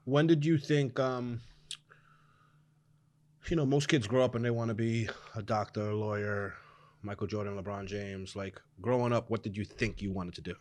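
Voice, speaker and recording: A man's voice: fairly low pitch, normal speed, no echo. Laid-back man hosting a podcast. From New York City. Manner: relaxed, laughs often, loose run-on questions. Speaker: podcast host. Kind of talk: casual interview chat. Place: small podcast studio. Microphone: studio mic on boom arm, headphones.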